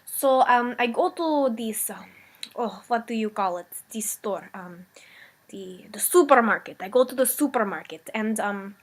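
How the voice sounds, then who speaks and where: A woman's voice: fairly high pitch, measured pace. A woman doing Olga, rambling old-country immigrant. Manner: broken English, meandering, deadpan comic storytelling. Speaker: comedic character. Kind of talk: improvised comic character monologue.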